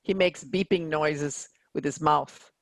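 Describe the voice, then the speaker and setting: A woman's voice: low voice, slow, no room sound. A woman reading scripted stage directions as narration for a play.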